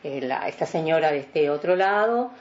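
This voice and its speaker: Older Uruguayan woman with a low voice. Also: expressive, normal speed, lo-fi sound. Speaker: veteran radio announcer being interviewed. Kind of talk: reminiscing in a radio interview.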